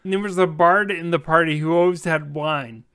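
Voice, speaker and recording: High-pitched middle-aged male host. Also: normal speed, bright, forceful tone, smooth. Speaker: host, game master. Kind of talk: casual roundtable chat.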